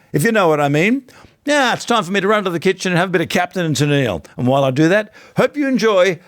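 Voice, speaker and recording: An Australian man hosting and interviewing in a casual, chatty, rambling and tongue-in-cheek way. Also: on the high side. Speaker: podcast host and interviewer.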